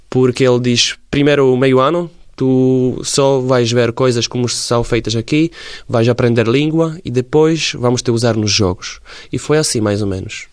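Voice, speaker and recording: A man in his forties from Slovakia, speaking Portuguese with a Slovak accent as a radio interview guest, pausing often to search for words. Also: mid-range voice, clean tone, dry recording, studio quality. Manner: halting, searching for words in a second language. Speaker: interview guest, former footballer.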